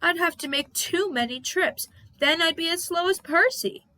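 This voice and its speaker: A woman's voice: very high voice, normal speed, bright, forceful tone, pitched above the performer's own voice. A woman voicing a breezy, boastful, eager male helicopter in a children's storybook, chirpy and exclaiming.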